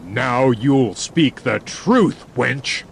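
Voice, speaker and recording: A man performs a brutal pirate chief for an adventure audiobook. He drags out each threat slowly and commands with menace. A faint ambient noise sits behind the voice. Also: medium pitch, lively intonation, creaky, pitched below the performer's own voice, full character voice. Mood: angry, threatening. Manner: commanding, threatening, drawn-out delivery. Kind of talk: dramatic audiobook character dialogue.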